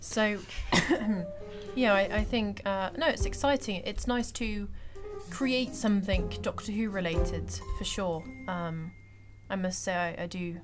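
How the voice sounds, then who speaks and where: A woman's voice: medium pitch, slow. A young woman chatting casually as a cast member, enthusiastic and supportive in the way she talks. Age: young adult. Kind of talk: casual group chat. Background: music.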